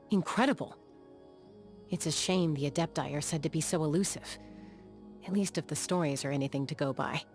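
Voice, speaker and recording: Game role: battle-hardened young female sky gunslinger, played by a woman. Lower-than-average pitch, calm, matter-of-fact delivery over background music. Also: close to an everyday voice. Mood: curious. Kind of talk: video game character voice lines.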